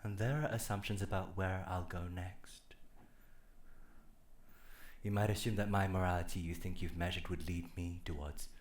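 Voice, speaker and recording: A man's voice: low voice, fairly even intonation, normal speed, pauses often, slight background noise. A man performing a poetic monologue live on a theatre stage before an audience. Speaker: performance artist.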